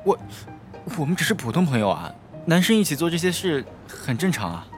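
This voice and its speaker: A man voicing an excitable, easily flustered college student in a campus audio drama. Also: a little high, fairly quick, faint music behind. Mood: awkward. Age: young adult.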